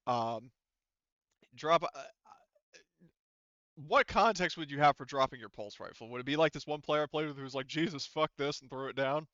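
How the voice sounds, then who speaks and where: Male game master, informal and explanatory, smooth tone, dry and slightly dull voice-chat sound. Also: on the high side, moderate pace, a bit bright, dry recording. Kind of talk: running a tabletop roleplaying game, casual. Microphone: online voice call.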